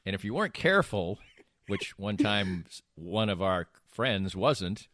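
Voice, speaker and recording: A man's voice: fairly low pitch, big pitch swings, unhurried, fairly bright tone, dry recording, studio quality. An older man hosting a casual podcast, telling anecdotes in a rambling way and restarting his sentences mid-thought. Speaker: podcast host.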